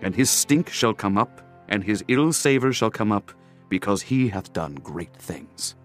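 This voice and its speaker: Male narrator, dramatized scripture reading, solemn and declamatory, measured phrasing, animated rise and fall, music underneath. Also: lowish voice. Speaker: audiobook narrator.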